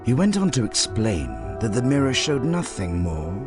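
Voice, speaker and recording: A man's voice: mid-range voice, huge pitch swings, normal speed. A man reading scripted storybook narration for a video game. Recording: music under the narration. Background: music.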